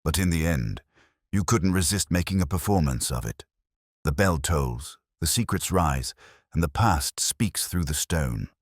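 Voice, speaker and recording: Guy reading as intense victorian detective, really deep husky voice, clipped dramatic lines, audiobook style. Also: animated, measured pace, no room sound, clean studio sound, exaggerated voice. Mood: accusing. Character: Victorian male detective, incisive, intense, theatrical. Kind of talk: audiobook character dialogue.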